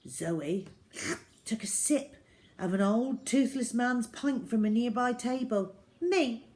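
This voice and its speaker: Slow-paced woman storyteller. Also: fairly high pitch, a little mellow. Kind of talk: expressive children's audiobook-style reading.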